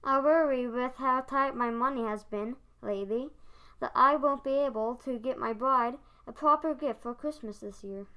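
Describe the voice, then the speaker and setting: Child playing a discouraged young husband, high clear voice. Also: normal speed, pushed and bright, rough recording. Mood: downcast. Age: child, about ten. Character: discouraged young husband, proud but short of money. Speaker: fourth grade student actor. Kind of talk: school radio play acting.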